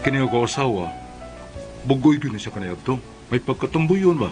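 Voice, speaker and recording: A man's voice: medium pitch, lively intonation, fairly quick, music in the background, lightly acted. A man playing a mild, long-suffering husband and exasperated father, narrating and acting in a comedic radio drama. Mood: resigned, confiding.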